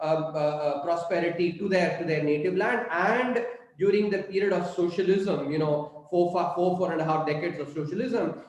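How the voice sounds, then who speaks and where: A middle-aged man from southern India, a native Tamil speaker with an Indian English accent, gives an unscripted college lecture, pausing often with fillers and restarts. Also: high voice, darkish tone. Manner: halting, many fillers and restarts. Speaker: guest speaker on economics. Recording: very echoey room.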